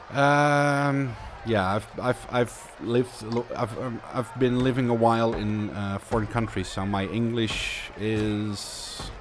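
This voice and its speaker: A man's voice: mid-range voice, fairly even intonation, takes it slowly, slight background noise. A Dutch man with a Dutch accent, chatting casually through live gameplay commentary and answering viewer questions as he builds. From Netherlands. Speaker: streamer.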